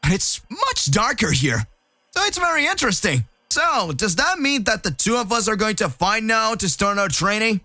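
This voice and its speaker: A man acting out a bold, good-natured hero of an anime-style fight story. His voice is high, bright and excitable, with a forthright delivery. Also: normal speed, no echo, soft background music, full character voice. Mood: eager. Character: Goku, eager, bold, good-natured male alien fighter. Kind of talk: dramatised fan story.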